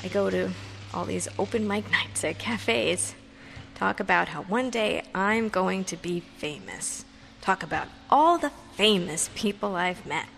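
A creaky-voiced young woman mourns her brother in an audio drama monologue. She rambles haltingly and emotionally, with a self-dramatizing streak and middling pitch. A faint noise sits behind her. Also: fairly bright tone. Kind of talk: audio drama monologue, naturalistic confessional speech.

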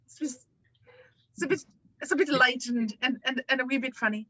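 Playful Irish speaker, acted by a woman, higher-pitched, very bright, close dry sound. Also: fairly quick, slightly rough, dry recording, highs cut off, full character voice. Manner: light, playful. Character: lilting, light-hearted Irish speaker, playful.

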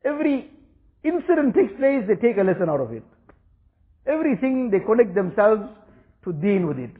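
A man's voice: sits high, big pitch swings, normal speed. A man whose first language is Urdu, giving an earnest religious talk and repeating phrases for emphasis. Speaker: religious teacher. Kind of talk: Islamic talk. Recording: tinny phone-like recording.

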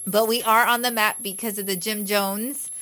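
A woman originally from Guyana, talking as a guest on a television talk show. She tells her story in a bright, chatty, upbeat way, easy and conversational. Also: medium pitch, fairly even intonation, normal speed. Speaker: guest physician. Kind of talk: casual talk show interview.

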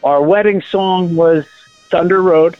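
A cheerful man calls in to a radio show, his voice pitched high. The line sounds dry and slightly dull. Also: takes it slowly, no room sound, soft background music. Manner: upbeat, cheerful. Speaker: radio caller. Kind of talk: phone-in call.

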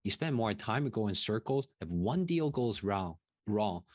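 A man's voice: lowish voice, very animated, normal speed, darkish tone, no room sound, studio quality. A man speaking casually and emphatically as a podcast guest, often checking in with tag questions. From Taiwan. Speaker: interview guest, real estate investor and mentor.

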